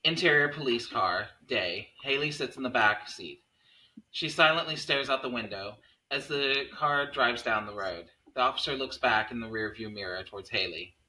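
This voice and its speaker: Man with bright, sharp narrating voice. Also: mid-range voice, normal speed, rough recording. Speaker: narrator reading stage directions. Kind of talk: script narration at a table read.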